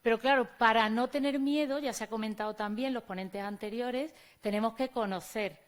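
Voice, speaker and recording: Andalusian woman, slightly high voice. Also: moderate pace. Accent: Andalusian Spanish. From Córdoba, Spain. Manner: conversational, addresses audience directly. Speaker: teacher giving a conference talk. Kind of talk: conference presentation. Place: conference venue on stage.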